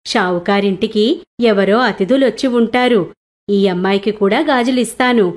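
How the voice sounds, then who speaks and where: Woman as a gentle, polite bangle seller. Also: medium pitch, moderate pace, natural voice. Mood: kindly.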